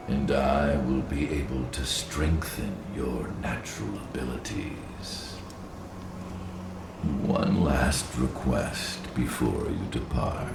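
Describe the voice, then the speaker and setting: Immensely deep, gravelly ancient spirit. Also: male voice, heavily acted. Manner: solemn, deliberate, formal. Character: ancient nature spirit, slow, solemn, benevolent guide. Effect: deep echoing reverb. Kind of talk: video game voice acting. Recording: echoing, distant voice under music.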